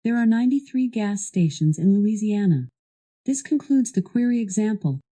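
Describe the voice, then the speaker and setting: A woman with a very soft, dark tone reads scripted instructions as a voice assistant. Her delivery is measured and formal, at an average pitch. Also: animated. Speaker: voice assistant guiding a product demo.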